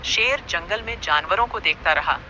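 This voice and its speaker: Male narrator, high voice, very sharp tone, scripted animal fable, faint background noise. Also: expressive, moderate pace. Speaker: storyteller.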